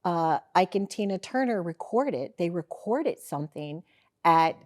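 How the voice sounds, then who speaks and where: A woman's voice: lowish voice, animated, measured pace, darkish tone. A woman from St. Louis hosting a talk show, asking her guests questions in a gushing, effusive way. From St. Louis, Missouri. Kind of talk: casual interview.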